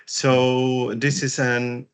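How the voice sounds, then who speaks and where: A man talks over a video call, his voice rough and gravelly at an ordinary mid pitch. He speaks haltingly and fills his pauses with uhs and ums. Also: flat delivery. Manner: hesitant, many fillers. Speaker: co-host, business and wellbeing strategist. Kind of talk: casual video-call chat.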